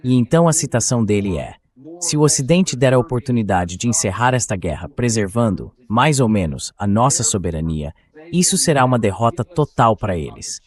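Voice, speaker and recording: A man's AI-dubbed voice hosting a political interview, explaining points in a measured way and putting questions to a guest. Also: lowish voice, sing-song. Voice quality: AI-generated dubbed voice. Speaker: host interviewer. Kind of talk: political interview with read-out quotes.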